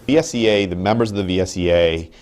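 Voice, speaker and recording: Man, 30s, union rep in an interview, deep voice, careful and halting delivery. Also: a little mellow. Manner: measured, thoughtful, with frequent ums and restarts. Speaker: union representative. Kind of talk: interview answers. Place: indoor office with wood paneling.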